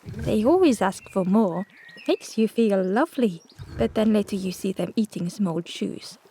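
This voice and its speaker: A woman's voice: medium pitch, expressive, normal speed, warm tone, a little hiss or hum, pitched below the performer's own voice, lightly acted. A woman voicing a kindly, wistful game cook who muses in a hesitant, folksy way. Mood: wry, musing.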